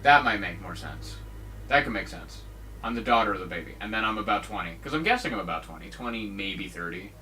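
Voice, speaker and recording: A man with a lively, bright voice rambles and jokes as he plays through a game, breaking into mock-dramatic outbursts. He sounds set back from the mic, with a faint hum of room ambience behind him. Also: on the low side, brisk, recorded from a distance, low-quality recording. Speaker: gaming video host. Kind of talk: casual let's-play commentary.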